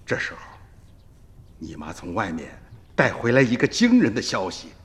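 A man's voice: fairly low pitch, huge pitch swings, normal speed, a bit bright. An actor playing an old farmer grandfather who recounts his past as a reflective storyteller in a radio drama. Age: older. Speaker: narrator telling the story in recollection.

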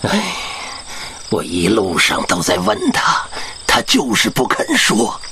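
Emotional old village chief, wildly expressive. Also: male voice, on the low side, slow pace, gravelly, a little hiss or hum, full character voice. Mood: distressed. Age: older. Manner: expressive, earnest storytelling. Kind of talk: radio drama acting.

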